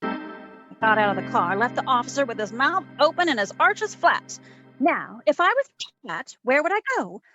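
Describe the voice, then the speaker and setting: A woman playing a sassy, quick-witted private detective, narrating her case in old-time radio drama style. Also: a little high, sing-song, dull audio, music in the background. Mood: brisk, wry. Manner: wry, wisecracking.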